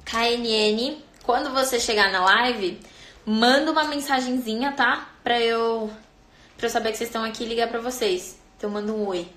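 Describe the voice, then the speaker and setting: A woman's voice: on the high side, normal speed, fairly bright tone, reverberant. A young woman with a Brazilian accent hosting a livestream, chatting playfully with viewers and a guest. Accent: Brazilian Portuguese. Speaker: livestream host. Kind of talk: casual livestream chat.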